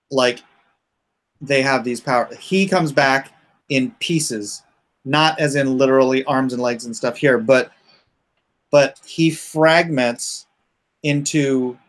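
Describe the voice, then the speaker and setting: A man in a casual unscripted chat, explaining his creative project in a halting way as he searches for words. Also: mid-range voice, fairly bright tone.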